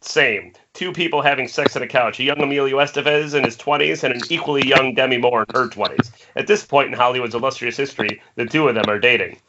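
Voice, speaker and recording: A man's voice: medium pitch, fast talker, few pauses. A man narrating screenplay directions for a table read, recorded over an internet call. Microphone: remote video-call microphone. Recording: muffled internet call, faint noise.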